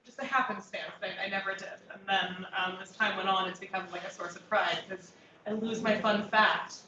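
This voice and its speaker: A woman's voice: mid-range voice, fairly even intonation, brisk. A woman rabbi hosting, chatting casually and laughing while people arrive. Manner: chatty, laughing, self-deprecating. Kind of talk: casual chat before a talk. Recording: distant, roomy, muffled, noisy.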